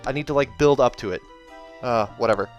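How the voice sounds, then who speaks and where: A male host reads fiction aloud in an expressive narrator's style and slips into casual chat. His pitch is average for a man. Soundtrack music plays behind him. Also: fast talker, highs cut off. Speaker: reader and channel host.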